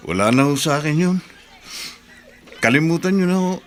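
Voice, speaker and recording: An actor playing a gentle, respectful and forgiving man in a Filipino radio drama, speaking politely. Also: a little high, lively intonation, unhurried. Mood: gentle, sympathetic. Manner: polite, soft-hearted, deferential.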